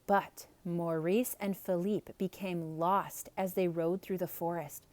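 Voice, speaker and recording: A woman's voice: fairly low pitch, steady tone, slow pace, darkish tone, no echo. A woman storyteller narrating a children's tale as a theatrical read-aloud.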